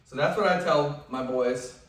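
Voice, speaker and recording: A man's voice: fairly high pitch, a little slow. A man working as a dog trainer, explaining techniques in a conversational, instructive way while he trains a dog at home. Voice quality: loud voice. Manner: instructive, conversational, drops to soft commands to the dog. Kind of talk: hands-on training demonstration. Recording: very echoey room, mic at a distance.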